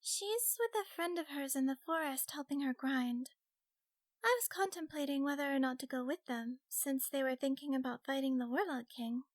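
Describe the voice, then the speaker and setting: A young fox girl from a fantasy game story, acted out by a male narrator. The voice sits very high and sounds cutesy and nervous. She stammers shyly, yet has a feisty streak. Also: normal speed. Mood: friendly. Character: shy, feisty young fox girl gamer, easily flustered.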